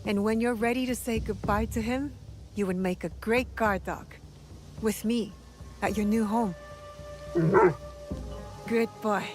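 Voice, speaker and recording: A woman's voice: fairly high pitch, fairly even intonation, unhurried, long pauses, close to an everyday voice. Game cutscene role: steely young female shinobi, bold and composed, played by an actress, music behind. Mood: gentle, sincere. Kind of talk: video game cutscene acting.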